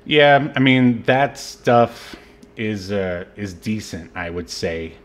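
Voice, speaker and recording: Man, low voice, podcast host, soft-spoken casual chat, trailing fillers. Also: expressive, slow. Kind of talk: casual chat reviewing a movie.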